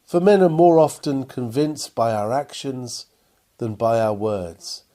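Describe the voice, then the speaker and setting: A man reading an old sermon aloud from a script, with earnest, measured and formal oratory. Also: mid-range voice, lively intonation, mellow. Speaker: reader of a historical sermon.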